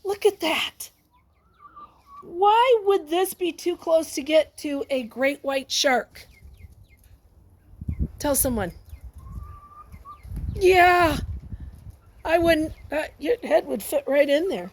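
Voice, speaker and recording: A woman reading a picture book aloud to young children, expressive and exclamatory, often breaking off to ask her listeners questions and add asides. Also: high voice, slow, long pauses, a little hiss or hum.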